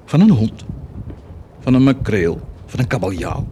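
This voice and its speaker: An actor playing a cruel, volatile army commander in a theatrical radio drama, sardonic and commanding in manner. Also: male voice, medium pitch, big pitch swings, measured pace, mellow, a little hiss or hum. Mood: mocking, sardonic.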